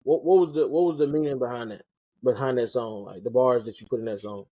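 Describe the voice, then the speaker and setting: A man hosting a casual interview, relaxed and slangy, often restarting his questions. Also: mid-range voice, fast talker, no echo. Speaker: interview host and rapper.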